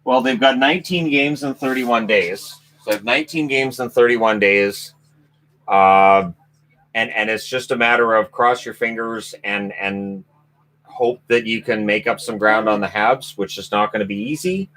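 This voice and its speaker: A man's voice: on the low side, normal speed, a bit bright. A Canadian man hosting a casual live chat about hockey news, rambling and self-mocking. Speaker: hockey channel host.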